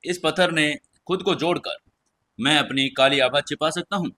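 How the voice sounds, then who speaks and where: A weakened dark spirit from an audio fantasy tale, acted by a male narrator. He is proud, wary and self-important, with a bright, forceful edge to his voice. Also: fairly high pitch, moderate pace, voice pushed up. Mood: smug. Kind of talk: audio drama storytelling.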